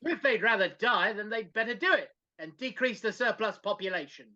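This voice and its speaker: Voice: medium pitch, brisk, bright, forceful tone, lightly acted. A performer reading a stern, miserly businessman with a curt, scornful manner in a live dramatic reading over a video call. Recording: dull lo-fi video-call audio.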